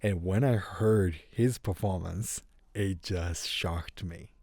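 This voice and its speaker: A man with a Japanese accent, whose first language is Japanese, telling his story as a guest in a casual interview in a relaxed, unhurried way. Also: deep voice, no room sound. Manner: relaxed, unhurried, light grammar slips. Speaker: guest musician.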